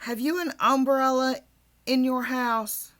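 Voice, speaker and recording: Storybook role: small self-important boy bear, earnest and muddled, pitched up by a woman reader, deliberate delivery. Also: steady tone, fairly bright tone. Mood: earnest scheming. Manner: deliberate, earnest, slightly pompous.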